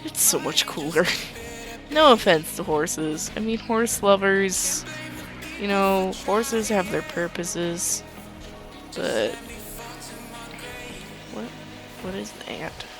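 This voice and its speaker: Calm young woman rambling over gameplay. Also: medium pitch, slow pace, long pauses, rough recording. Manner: calm, soothing, rambling talk with light laughs. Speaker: gaming channel host. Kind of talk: casual gameplay commentary. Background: background music.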